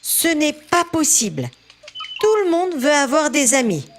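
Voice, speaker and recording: A woman's voice: high-pitched, sing-song, a little slow, soft background music, exaggerated voice. A woman voicing a friendly, polite and eager young boy train in a French children's cartoon. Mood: curious.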